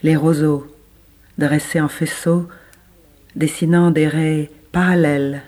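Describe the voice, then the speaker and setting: Man, slow pace, slightly high pitch, literary narration, deliberate phrasing. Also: little pitch movement, darkish tone. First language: French. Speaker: reader of literary text.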